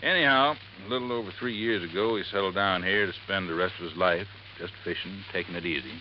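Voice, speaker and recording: An actor playing a plain-spoken, deliberate lake resort manager in a 1950s radio drama. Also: male voice, low voice, natural voice. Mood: matter-of-fact. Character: folksy, unhurried male lake resort manager. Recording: muffled, faintly noisy vintage radio recording.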